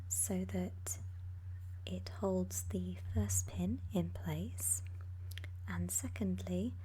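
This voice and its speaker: A woman gives ASMR craft instructions in a gentle, breathy whisper, pausing unhurriedly between short phrases. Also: mid-range voice, a little hiss or hum, rough recording. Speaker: ASMR craft tutorial host.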